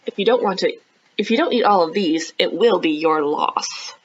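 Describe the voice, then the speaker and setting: A woman children's librarian reading a picture book aloud expressively, with animated, encouraging chatty asides to young listeners. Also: on the low side, normal speed, husky, muffled recording. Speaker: children's librarian hosting storytime.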